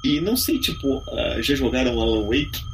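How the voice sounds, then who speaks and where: A man's voice: mid-range voice, sing-song, moderate pace, slight background noise. A man with a Brazilian accent casually telling a personal eerie story as a podcast guest, full of slangy interjections.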